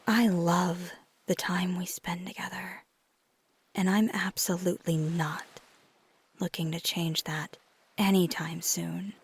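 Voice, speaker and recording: A woman playing a caring, flirty female vampire lover in an intimate ASMR roleplay, speaking tenderly and reassuringly in a breathy, husky half-whisper. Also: lowish voice, fairly even intonation, slow pace, heavily acted. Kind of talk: ASMR roleplay, intimate one-sided dialogue.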